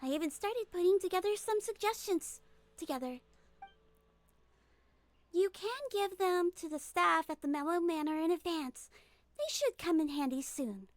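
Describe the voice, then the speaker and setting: A woman voicing a small, gentle, earnest girlish head nurse in a game fan dub, sweet, polite and measured. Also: very high-pitched, dry recording. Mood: concerned, apologetic. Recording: music behind voice.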